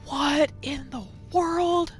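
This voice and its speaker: A woman hosting a gaming roleplay series, giving expressive playthrough commentary and telling her character's story. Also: high voice, very slow, faint music behind. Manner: expressive, exclamatory reactions.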